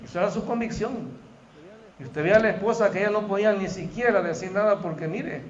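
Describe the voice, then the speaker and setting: A man's voice: high voice, a little fast, lo-fi sound, slight background noise. A middle-aged man from El Salvador with a Salvadoran Spanish accent, preaching a sermon and talking to the congregation in a conversational way, often calling out to the brethren. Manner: conversational, addresses the congregation as "hermano". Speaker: pastor. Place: church hall.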